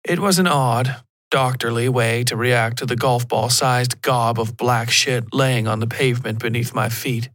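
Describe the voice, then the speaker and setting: A man's voice: medium pitch, fairly even intonation, moderate pace, a bit bright, no room sound, clean studio sound. A man reading a scripted first-person horror story as the narrator of a fiction podcast.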